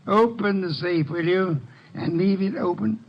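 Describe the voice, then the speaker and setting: A pompous older detective from an old-time radio play, fairly high in voice. He speaks in formal, measured sentences with dry, lordly amusement. The recording is dull and muffled, like an aged broadcast. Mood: calm reproach.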